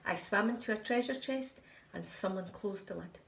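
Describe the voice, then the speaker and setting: A woman's voice: mid-range voice, normal speed, gravelly, distant mic, natural voice. Tiddler, a woman voicing a little tale-telling fish. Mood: eager excuses. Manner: eager, apologetic excuses. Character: small boastful young boy fish who tells tall tales. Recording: echoey room.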